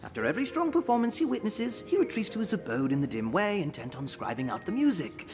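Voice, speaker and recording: An actor playing a sardonic, smug assassin in a fantasy video game, with florid, theatrical, mocking phrasing. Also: male voice, high-pitched, sing-song, fast talker. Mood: boastful, biting. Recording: loud music behind the voice.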